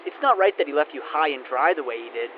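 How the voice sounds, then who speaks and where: A man's voice: a little high, lively intonation, fast, close to an everyday voice. A man playing a chatty, friendly podcast fan leaving a casual, frank voicemail in an audio drama, muffled. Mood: friendly, candid. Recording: muffled voicemail with faint noise.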